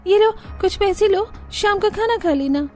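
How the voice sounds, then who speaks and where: A woman's voice: very high voice, animated, unhurried, darkish tone. A woman voicing a snobbish, mocking rich young woman who drawls and drops in English phrases.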